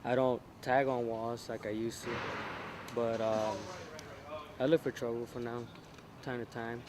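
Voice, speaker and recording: A man's voice: mid-range voice, flat delivery, measured pace, a crowd in the background. A man from South Central Los Angeles, answering interview questions casually and hesitantly, often restarting his sentences.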